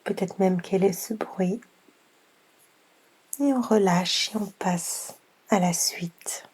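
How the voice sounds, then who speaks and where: A woman guiding a yoga nidra session in a soft, half-whispered voice, unhurried, with long pauses between gentle instructions. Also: mid-range voice, breathy edge, low-quality recording. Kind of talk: slow guided meditation.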